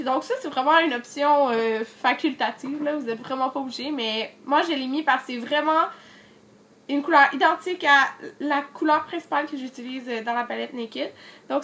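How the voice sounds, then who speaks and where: A woman's voice: high voice, moderate pace, a bit bright, a little hiss or hum. A young woman with a Quebec French accent, chatting casually through a makeup tutorial while she has a cold, with lots of fillers. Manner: chatty, many fillers, addresses viewers directly. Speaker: beauty vlogger.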